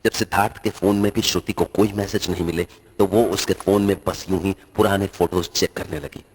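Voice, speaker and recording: Male narrator for scripted horror tales, low-pitched with a husky edge. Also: fairly even intonation, a little fast, a little mellow.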